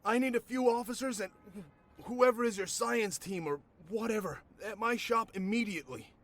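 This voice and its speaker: A man's voice: high-pitched, normal speed, a bit bright. A man playing a nervous, chatty comic shop owner in an audio drama, stammering and wry. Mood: shaken, anxious. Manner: nervous, stammering, wryly talkative. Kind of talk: naturalistic audio drama acting.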